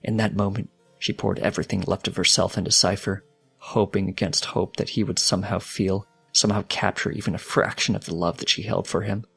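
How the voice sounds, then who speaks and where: A man reading fiction aloud as a dramatic, solemn narrator with a deliberate delivery. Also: sits low, little pitch movement, vocal fry, a bit bright, breathy edge, soft background music. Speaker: fanfiction narrator.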